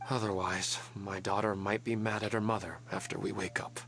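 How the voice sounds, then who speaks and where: An actor playing a gentle, resigned family man who speaks politely and quietly wistful. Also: lowish voice, little pitch movement, moderate pace. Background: game music.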